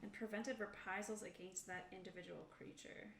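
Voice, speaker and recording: A woman's voice: mid-range voice, fairly even intonation, brisk, gravelly. A woman talking casually as a player working out her character in a tabletop roleplaying game. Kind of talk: casual table talk while creating a character. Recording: roomy echo, distant mic, noisy background.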